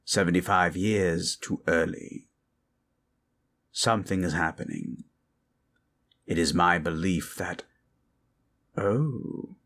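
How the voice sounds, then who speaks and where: A man voicing a grave skeleton-faced wizard with a low gravelly voice and a measured, formal manner, in a fantasy ASMR roleplay. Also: a bit bright, full character voice. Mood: ominous. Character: grave, scary skeleton-faced male wizard, wise quest-giver.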